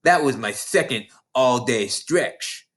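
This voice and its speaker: A man's voice: mid-range voice, lively intonation, slow, fairly bright tone. A man voicing a boastful, battle-hardened schoolgirl prankster who tells dramatic war stories from a children's storybook. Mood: indignant, dramatic.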